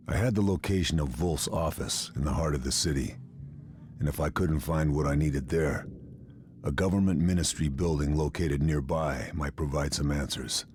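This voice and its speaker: Very deep, grim WWII sniper. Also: male voice, a little hiss or hum. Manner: terse, grave, deadpan. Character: hard-boiled male WWII army sniper, grim and determined. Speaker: narrator. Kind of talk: scripted first-person game cutscene narration.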